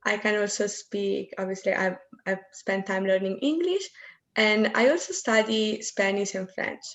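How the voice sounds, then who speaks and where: Young woman, clear and direct. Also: medium pitch, unhurried. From Rome, Italy. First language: Italian. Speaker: student panelist.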